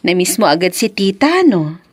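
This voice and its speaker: An actress playing a hurt but loving young Filipino wife in a radio drama, gentle and earnest. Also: female voice, lowish voice, no echo, full character voice. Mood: concerned.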